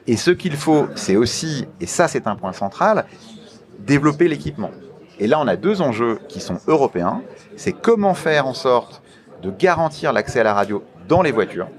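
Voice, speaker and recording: A man giving formal interview answers as an official, in a deliberate, halting manner with emphatic repetition of key phrases. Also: mid-range voice. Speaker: interview guest, media regulator official.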